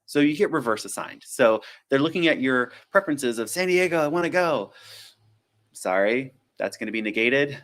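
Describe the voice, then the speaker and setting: A man's voice: medium pitch, expressive, normal speed, a bit bright. A man, a flight attendant hosting a casual live-stream Q&A, chatty and self-deprecating, drifting into tangents and acting out little quotes.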